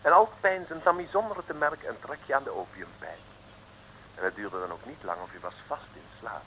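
A man's voice: medium pitch, a little fast, sharp tone, rough recording. A man narrating an old radio serial as a playful, theatrical storyteller. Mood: wry storytelling. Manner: theatrical, singsong lists. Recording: old radio recording, light noise.

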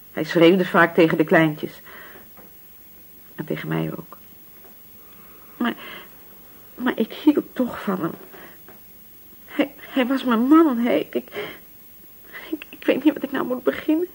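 A poor working-class widow in a radio drama. She sounds husky and anxious, grieving and submissive, often hesitating over her words. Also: medium pitch, slow, pauses often, heavily acted. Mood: grieving, distressed.

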